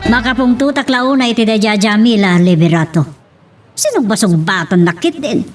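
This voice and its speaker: Woman acting snooty scolding drama rival, highish voice, a bit far from the mic. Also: expressive, normal speed, faint music behind, close to an everyday voice. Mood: bitter. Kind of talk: Ilocano radio-style romance drama acting. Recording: distant mic with faint noise.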